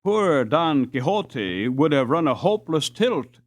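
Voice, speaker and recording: A man voicing an eager, bookish, talkative schoolboy in a storybook, animated and chatty. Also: on the high side, a little slow. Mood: eager, amused. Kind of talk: storybook character dialogue.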